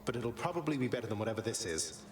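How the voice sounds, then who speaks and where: An actor playing a cocky young prince of the gods in a video game, with a wry, nonchalant manner. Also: male voice, mid-range voice, big pitch swings, very fast, slight background noise, lightly acted. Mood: firm, impatient. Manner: wry, nonchalant, politely cheeky. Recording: loud music behind the voice. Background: loud game music.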